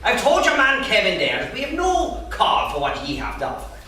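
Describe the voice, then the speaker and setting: A male actor plays a blunt Irish shopkeeper from Cork with a high, animated delivery. He is gruff and scornful of outsiders. The sound is a very echoey stage reading. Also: fairly quick, heavily acted. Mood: dismissive, hostile. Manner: blunt, dismissive. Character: gruff, prejudiced male Cork shop proprietor, overweight. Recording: echoey theatre from far off, faint noise.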